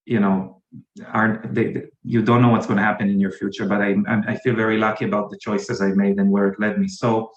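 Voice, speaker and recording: A man's voice: lowish voice, flat delivery, fairly quick. Hesitant Israeli-accented man, roomy dull sound. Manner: hesitant, many fillers and restarts. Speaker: guest, company founder. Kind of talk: interview answers.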